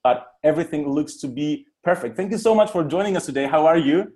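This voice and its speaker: A man's voice: fairly high pitch, a little fast, a little mellow. A man hosting a podcast, speaking articulately and addressing listeners directly as he introduces and runs an interview.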